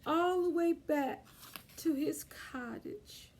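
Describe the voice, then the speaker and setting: Female children's storyteller, high voice, unhurried pace, expressive, speaking directly to little kids. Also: slight background noise. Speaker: children's librarian leading storytime. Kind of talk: children's storytime read-aloud and chat.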